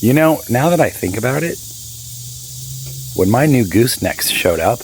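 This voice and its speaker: A man in his fifties with a raspy, hoarse voice, chatting casually and rambling through a boat repair vlog. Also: medium pitch, sing-song, moderate pace, slight background noise. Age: 50s. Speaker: boat owner vlogger.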